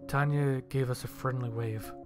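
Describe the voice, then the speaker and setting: A man's voice: mid-range voice, steady tone, moderate pace. A man narrating a scripted first-person story and acting out his own lines of dialogue. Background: background music.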